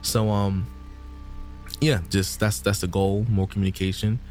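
A man's voice: lowish voice, flat delivery, unhurried, a little mellow, faint music behind. A man co-hosting a podcast in casual, rambling chat, full of "you know" and "um," pushing upbeat calls to listeners. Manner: laid-back, rambling, lots of "you know" and "um"; upbeat promo sign-off push. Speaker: podcast co-host.

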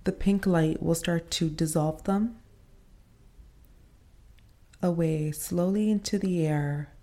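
A woman leading a guided meditation in a soothing, unhurried manner, pausing between short phrases. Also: on the low side, mellow.

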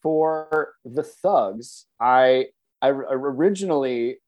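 A man's voice: medium pitch, slow pace, lo-fi sound. An American man from the Chicago suburbs chatting casually as an interview guest, in a self-deprecating, chuckly way. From suburban Chicago, United States.

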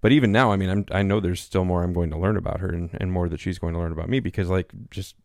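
A pastor in his thirties talking casually as a podcast co-host, with a loud voice that carries, rambling with many fillers and restarts. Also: deep voice, fairly even intonation, quick pace, creaky, no room sound, studio quality. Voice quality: loud, carrying voice. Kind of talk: casual conversation. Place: small home studio.

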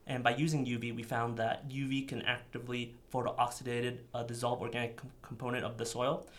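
A young man, a PhD student, calmly explaining a technical method in an interview. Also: mid-range voice, fairly quick. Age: young adult.

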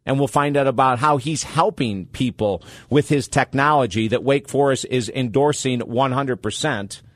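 A man's voice: mid-range voice, moderate pace, dry recording, clean studio sound. A man hosting a radio talk show, talking with upbeat, enthusiastic promo patter.

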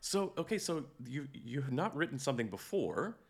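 A man hosting a podcast in a conversational interviewing style, haltingly repeating phrases as he goes. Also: mid-range voice, sing-song, gravelly. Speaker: podcast host.

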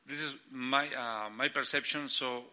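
Middle-aged spanish-speaking guy, halting english, really bright sharp tone. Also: mid-range voice, fairly even intonation. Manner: halting, searching for English words. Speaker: church planting catalyst. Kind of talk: guest sharing ministry stories.

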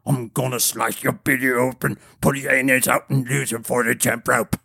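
A man voicing a huge, dim-witted bandit leader with slow, menacing, uneducated speech in an audiobook. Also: medium pitch, flat delivery, creaky, pushed and bright, breathy edge, no echo, clean studio sound, heavily acted. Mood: furious threats. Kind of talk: audiobook character voice.

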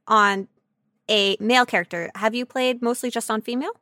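A woman with a high, bright voice co-hosts a silly gaming podcast. She talks casually and playfully, with an excitable, giggly manner. The sound is close and dry, with no room to it. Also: fairly quick, no room sound. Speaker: podcast host. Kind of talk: casual comedic podcast chat about a video game.

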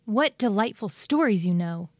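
A woman's voice: medium pitch, expressive, moderate pace, no echo, close to an everyday voice. A woman voicing a tiny, eager, inquisitive mouse in a children's storybook, full of wonder. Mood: admiring. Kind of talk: dramatized children's storybook reading.

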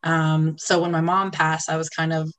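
A woman's voice: sits low, level tone, normal speed. A woman guest on a podcast, talking candidly and thoughtfully about her life, with many fillers and restarts. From Italy. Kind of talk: reflective personal interview.

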